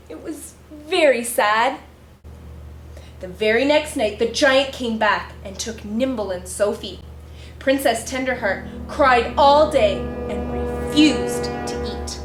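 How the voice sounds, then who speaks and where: A woman's voice: sits high, fairly bright tone. A woman reading a picture book aloud in character, with girlish, dramatic expression and exaggerated reactions. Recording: roomy echo with faint music.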